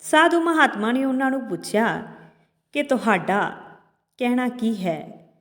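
A woman's voice: fairly high pitch, very animated, unhurried. A woman narrating a scripted moral story as a storyteller. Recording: long hall-like reverb on voice.

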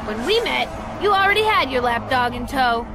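A woman's voice: sits high, animated, moderate pace, over music. An actress playing a tough, determined young woman, firm and feisty, in a video game cutscene. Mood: exasperated.

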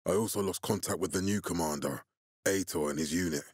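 Husky, commanding militia leader. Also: male voice, low voice, a little fast, a little mellow, no room sound, clean studio sound. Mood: grave, measured. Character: commanding militia leader, grave adult man, calculating and profane. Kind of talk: video game character dialogue.

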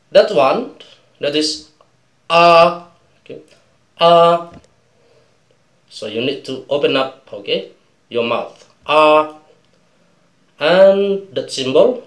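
A man with an Indonesian accent teaches English pronunciation in a small room that gives his voice a noticeable echo. He talks very slowly and a little high, stretching each word out for learners and checking in with "okay?" again and again. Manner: drills words slowly, keeps asking "okay?". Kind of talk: slow pronunciation lesson. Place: small indoor room before a colored backdrop.

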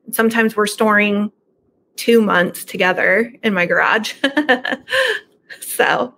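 A woman's voice: medium pitch, fairly even intonation, slow pace, vocal fry, a bit bright, slight background noise. A middle-aged woman talking casually as an interview guest over a video call from a room at home. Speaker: podcast guest, horror book subscription business owner.

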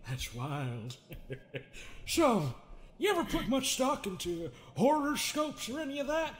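A man's voice: very high voice, sing-song, unhurried, full character voice. A man voicing an unhinged, sardonic therapist with folksy menace, theatrical and mocking, in an improvised comedy dub of a horror game. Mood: sly, amused.

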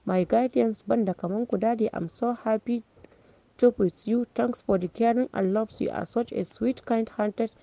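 Woman voicing a teenage girl, emotional graduation speech, halting delivery, mellow tone, dry sound. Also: a little high, creaky, no echo. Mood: tearful. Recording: dull lo-fi recording.

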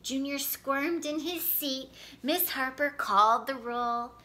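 A woman in her thirties reading a children's picture book aloud in an expressive, animated way. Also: high-pitched, slow pace. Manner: animated, clearly paced storytelling. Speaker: storyteller reading picture books. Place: indoor living room by a fireplace.

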